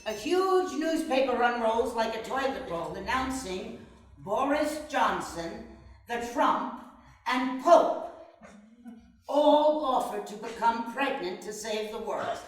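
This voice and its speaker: A woman's voice: fairly high pitch, lively intonation, slow pace. A woman narrator reading stage directions in a scripted dramatic reading. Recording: very echoey room, distant mic, noise.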